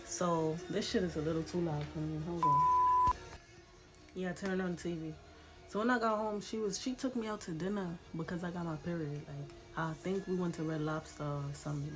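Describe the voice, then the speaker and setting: A woman's voice: fairly low pitch, low-quality recording. Chatty congested woman telling a story. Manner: candid and chatty, swears freely, asks the viewer "you know?". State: congested, stuffy nose. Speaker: vlogger. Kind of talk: casual storytelling vlog. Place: home room with a TV playing.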